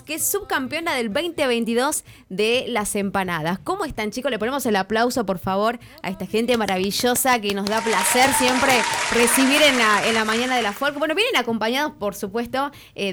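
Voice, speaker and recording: Lively Argentine woman radio host. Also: on the high side, a crowd in the background. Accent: Argentine Spanish. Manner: lively, welcoming, quick interjections. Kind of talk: morning radio chat.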